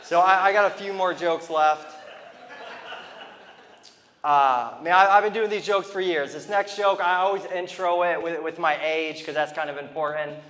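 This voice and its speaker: A man's voice: on the high side, fairly even intonation, moderate pace, fairly bright tone, big-room sound, slight background noise. A man in his thirties from Ohio with a lisp, doing a stand-up comedy set in a loose, rambling way with asides to the crowd. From Toledo, Ohio, US. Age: 30s. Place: comedy venue with a live audience.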